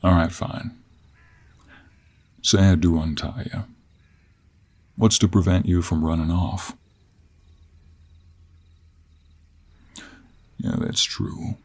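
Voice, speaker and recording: A man voicing a confident, sly cowboy monster hunter with a gravelly voice and an American Western accent in a fantasy audio roleplay. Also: low-pitched, steady tone, slow pace, pauses often, a little mellow, exaggerated voice. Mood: wry, negotiating.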